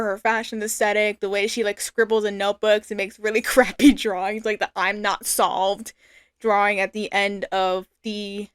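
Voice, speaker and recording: A woman's voice: on the high side, clean tone, no room sound, clean studio sound. A young adult woman giving an informal, earnest and reflective personal testimonial. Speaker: fan artist and creator.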